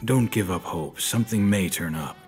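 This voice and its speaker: An actor playing a world-weary, tough private detective in a noir audio drama, laconic and hard-boiled. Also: male voice, low-pitched, normal speed, lightly acted. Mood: calm, gentle. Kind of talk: noir radio-drama narration and dialogue. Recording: studio production with music underneath. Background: music.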